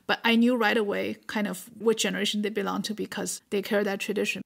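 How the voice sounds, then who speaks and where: A woman's voice: medium pitch, little pitch movement, quick pace, creaky, slightly rough. A middle-aged woman whose first language is Mandarin, patiently explaining Chinese words and tones as a guest teacher.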